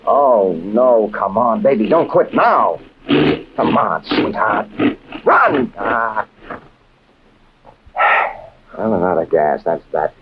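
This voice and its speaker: Wry American adventurer: hard-boiled, colloquial, lively pitch swings, 1950s radio drama, muffled sound. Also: male voice, takes it slowly, exaggerated voice. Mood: frantic, then wry, urgent. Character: wry, determined American adventurer narrating his own story. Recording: muffled, hissy old radio recording.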